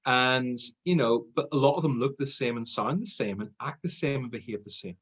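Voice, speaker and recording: An Irish man answering podcast questions in a loose, chatty way, poking fun at himself and laughing easily. His voice is a little husky. He sits at a middle pitch. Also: a little fast, a bit bright. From Ireland. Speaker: guest, sales trainer. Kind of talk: casual podcast interview.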